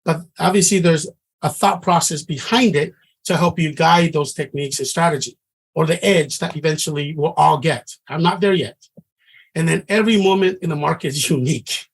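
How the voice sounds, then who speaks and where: A man's voice: a little high, moderate pace, creaky, slightly rough. A middle-aged man from Samoa, teaching a trading class in an earnest, conversational way and often restarting his sentences. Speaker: trading instructor.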